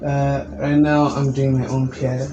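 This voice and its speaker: A young man with a low voice, a musician answering interview questions in a calm, measured way. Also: highs cut off. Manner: calm, measured, explains at length. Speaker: musician guest. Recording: echoey room with background noise.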